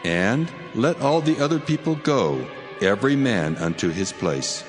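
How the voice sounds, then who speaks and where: God, low-pitched, solemn, swooping delivery, authoritative, dramatized scripture. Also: male voice, very animated, a little slow. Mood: solemn promise. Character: God, a commanding, solemn male divine voice. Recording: long cavernous reverb over music.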